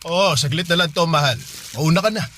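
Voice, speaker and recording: A man's voice: fairly high pitch, a little fast, bright, forceful tone, a little hiss or hum. A man acting as a patient, easygoing middle-aged father in a radio drama, mild and placating. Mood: affable.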